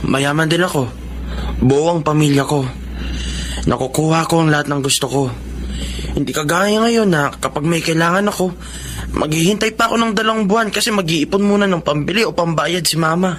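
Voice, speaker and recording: A man's voice: fairly high pitch, fairly quick, fairly bright tone, slight background noise, lightly acted. A man playing a sensitive, discontented but loving teenage son in a Filipino radio drama, with a sincere, youthful delivery. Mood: resentful. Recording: clear music bed under voice.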